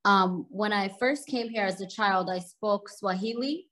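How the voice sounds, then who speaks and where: A woman's voice: medium pitch, measured pace, fairly bright tone. Chatty woman in her thirties, Arabic native. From Sudan. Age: 30s. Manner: chatty, laughs while telling stories. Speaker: guest. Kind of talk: casual storytelling interview.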